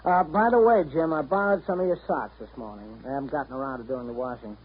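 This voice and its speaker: Lazy sardonic slacker, old radio drama, bit husky, muffled recording. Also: male voice, medium pitch, fast. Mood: idle. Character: lazy, sour, self-absorbed man, idly sardonic. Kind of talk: 1930s radio drama acting. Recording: dull 1930s radio recording.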